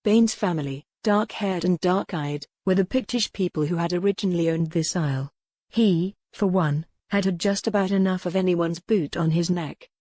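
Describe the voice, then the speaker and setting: Woman, audiobook narrator, low pitch, dark tone, dry close mic, measured. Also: no room sound. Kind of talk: scripted narration.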